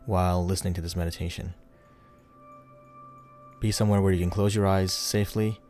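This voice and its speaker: A man leading a guided meditation in a soothing, unhurried, instructional way, leaving pauses between phrases. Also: low voice, darkish tone. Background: background music.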